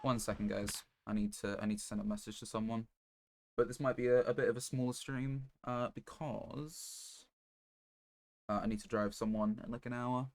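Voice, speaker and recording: A man's voice: fairly low pitch, fairly even intonation, moderate pace. A man livestreaming a video game, casually talking through his plans to viewers and swearing now and then. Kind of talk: casual live game commentary.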